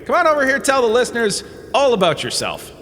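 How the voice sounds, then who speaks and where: A man's voice: very high voice, huge pitch swings, quick pace, fairly bright tone, full character voice. A man playing a washed-up, self-important holodrama star who gives rambling, breezy, self-excusing comedic lectures in an audio drama. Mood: brisk, dismissive. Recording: cavernous reverb with faint background noise.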